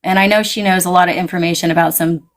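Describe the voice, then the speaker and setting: Animated woman, pitch swinging upward. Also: quick pace. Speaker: guest. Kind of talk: casual conversation.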